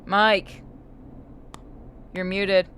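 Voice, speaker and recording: Bright-voiced, blunt woman game streamer. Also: mid-range voice, level tone, very slow, slight background noise. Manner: blunt, bantering. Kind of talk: casual gameplay commentary.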